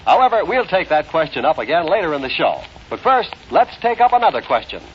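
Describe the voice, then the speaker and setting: A man's voice: fairly high pitch, very animated, brisk, dull audio, slight background noise. An American man announcing a radio show in an emphatic, theatrical 1950s style. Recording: band-limited early 1950s radio with music.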